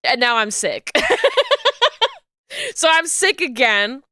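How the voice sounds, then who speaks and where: Woman, congested from a cold, rambling casual streamer chat, slightly high voice, crisp and smooth. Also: expressive, takes it slowly, sharp tone, no room sound, studio quality. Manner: chatty and rambling. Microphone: desk microphone.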